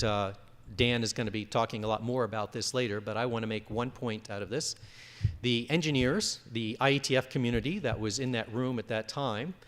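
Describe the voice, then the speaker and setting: A man giving a conference presentation and fielding audience questions. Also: medium pitch. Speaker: conference speaker. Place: large conference room. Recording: reverberant hall.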